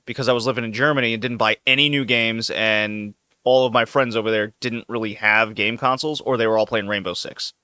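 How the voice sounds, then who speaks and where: A man hosting a casual podcast with a bright, forceful voice, laughing easily through loose chat. The sound is dry and close, with no room around it. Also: fairly low pitch, fairly even intonation, a little fast, clean tone, dry recording. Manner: loose, joking, laughs often. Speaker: podcast host.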